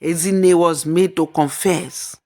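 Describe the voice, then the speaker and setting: Female storyteller for folktale narration, reading at a markedly slow, measured pace. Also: on the low side, fairly even intonation, dark tone.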